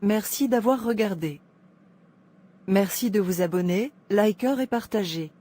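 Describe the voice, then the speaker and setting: A woman's voice: mid-range voice, measured pace, dark tone. A woman narrating a scripted moral story in a solemn, preachy manner.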